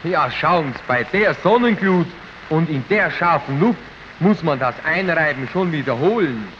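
A man's voice: on the high side, lively intonation, measured pace. A man acting a friendly character in an old radio advertisement, talking in a chatty, coaxing way. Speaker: ad character advising on sunscreen. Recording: dull 1930s archive radio recording with faint noise.

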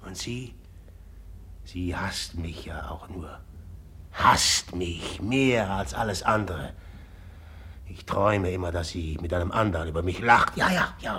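A man's voice: low voice, bright, forceful tone, husky, exaggerated voice. An actor playing a volatile, jealous man tormented by love in a radio drama, speaking bitterly in brooding, broken phrases. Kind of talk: classic German radio drama acting.

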